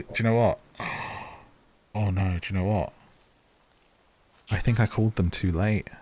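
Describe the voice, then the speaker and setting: A man's voice: medium pitch, a little mellow, slight background noise. A British man giving casual, chatty gameplay commentary, playfully talking to the characters as he goes. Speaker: gaming video host. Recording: music under the voice.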